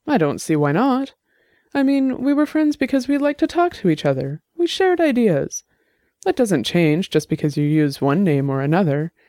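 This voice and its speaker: A narrator voicing a nervous, defensive man whose voice rises high and shaky, near tears. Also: very animated, normal speed, darkish tone, no room sound, clean studio sound. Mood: defensive, shrill.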